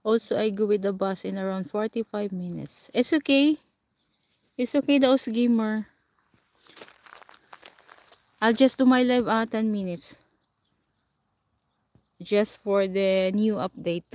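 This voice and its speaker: A woman with a Filipino accent hosts a casual livestream, chatting with viewers and answering their comments. She talks at an unhurried pace in a mid-range voice and laughs often. The sound is dry, with little room echo. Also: mid-range voice, steady tone, a little mellow. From Philippines. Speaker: livestream host. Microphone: camera or phone mic set a short way off.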